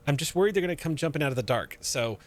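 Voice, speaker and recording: A man playing a tabletop role-playing game, speaking in character as a jittery pilot with halting, stumbling speech. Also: on the high side, animated. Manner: nervous, halting, many restarts. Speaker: tabletop player voicing a pilot. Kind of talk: in-character roleplay, casual.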